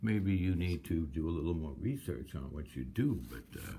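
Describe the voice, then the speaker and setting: Aggrieved male resident, lowish voice, argumentative, faint room noise. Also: animated, normal speed, gravelly, mellow. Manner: challenging, aggrieved. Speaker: resident cited at a city hearing. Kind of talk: argumentative exchange at a hearing.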